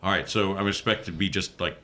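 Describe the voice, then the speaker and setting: A man's voice: low voice, fairly even intonation, brisk, sharp tone. A man playing a tabletop role-playing game, chatting casually with some background noise.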